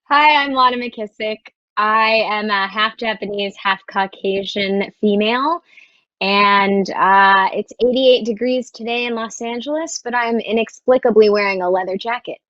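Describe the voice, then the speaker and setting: A woman's voice: medium pitch, normal speed, fairly bright tone, dry recording. Woman panelist, dry, slightly muffled sound. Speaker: cast member, panelist. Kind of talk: casual self-introduction.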